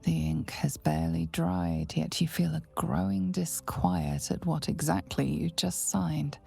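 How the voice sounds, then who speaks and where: A grave storyteller in a video game, extremely creaky and very dark in tone. She speaks in a hushed, measured, ominous way, as if weighing each warning, over a musical score. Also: deep voice, exaggerated voice. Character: grave, foreboding female storyteller advising the hero. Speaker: narrator. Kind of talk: scripted game narration.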